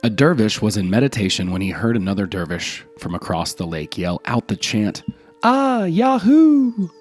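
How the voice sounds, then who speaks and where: A man's voice: low voice, a little fast, soft background music. A man narrating scripted folk tales as a storyteller.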